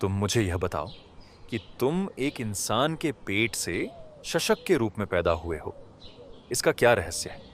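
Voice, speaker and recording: A man voicing a kind, humble gentleman in a cartoon fable. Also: lowish voice, big pitch swings, unhurried, slight background noise. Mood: curious. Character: kind, humble village gentleman who helps animals.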